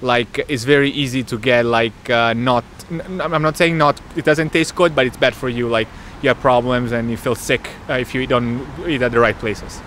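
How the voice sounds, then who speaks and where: Young man, quick talker. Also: mid-range voice, steady tone, a bit bright, slight background noise. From Italy. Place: city street outdoors.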